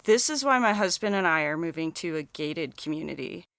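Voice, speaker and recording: A woman performs a fearful, snobbish suburban wife. The voice is smooth and recorded dry, with a dramatic, gossipy delivery suited to audio fiction. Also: low-pitched, expressive, a little fast, fairly bright tone, no echo. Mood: alarmed. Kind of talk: scripted audio fiction reading.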